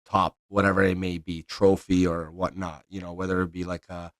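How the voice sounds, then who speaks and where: A man's voice: deep voice, level tone, normal speed. A man hosting a podcast, chatting casually and affirming his guest often. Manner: agreeable, frequent affirmations. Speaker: podcast host. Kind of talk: casual interview chat.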